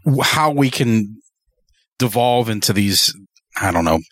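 A man's voice: fairly low pitch, lively intonation, unhurried, no room sound. A man with an American accent casually chatting and asking questions as a podcast host. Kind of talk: casual chat, interviewing guests.

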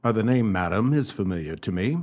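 A man playing a courtly, self-satisfied gentleman detective in a radio play, speaking in a formal, pompous, theatrical way. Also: lowish voice, expressive, a little fast. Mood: polite, curious. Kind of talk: radio play acting with first-person narration.

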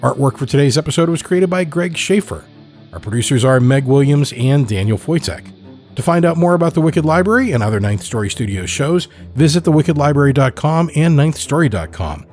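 A man's voice: a little high, lively intonation, brisk, darkish tone, soft background music. A man reading scripted narration as a storyteller and podcast host.

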